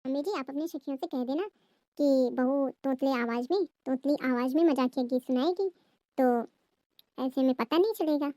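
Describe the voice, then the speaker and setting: A woman playing a confident, protective elder sister-in-law with a brisk, defensive manner in a family comedy skit. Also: high-pitched, dark tone, dry recording, natural voice. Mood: reassuring.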